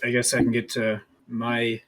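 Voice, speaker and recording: A man's voice: mid-range voice, monotone, normal speed, a little hiss or hum. A man co-hosting a podcast, reminiscing informally and peppering his talk with "like". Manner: informal, says "like" constantly. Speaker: podcast co-host. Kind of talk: casual chat, personal reminiscing.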